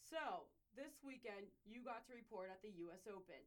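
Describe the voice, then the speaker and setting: Woman, young adult, podcast host, chatty, animated, middle pitch. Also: normal speed. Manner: chatty and animated, with a punchy scripted opening. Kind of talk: podcast interview chat.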